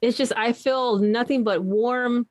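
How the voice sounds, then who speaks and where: A woman's voice: fairly high pitch, steady tone, measured pace, no echo. Effusive woman podcast host, dry mic. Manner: warm, effusive, rambling questions. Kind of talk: casual podcast interview.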